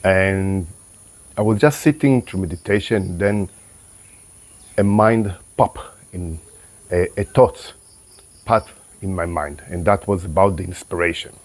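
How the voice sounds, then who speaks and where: A man with a very deep voice talks slowly and reflectively, a qigong teacher whose first language is Hebrew. His voice sounds slightly closed, and he pauses and restarts as he gathers his thoughts. Insects chirp quietly in the background. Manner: calm, unhurried, with pauses and restarts. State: voice a bit closed after meditation. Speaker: qigong and tai chi teacher. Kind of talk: reflective spoken talk to camera.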